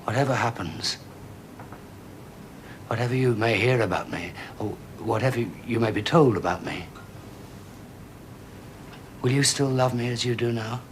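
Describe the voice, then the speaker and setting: A man's voice: medium pitch, moderate pace, long pauses, a little hiss or hum, close to an everyday voice. An actor playing an exhausted young man who speaks hesitantly and earnestly to his mother in a radio drama. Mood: troubled. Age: young adult. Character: haunted, exhausted young son.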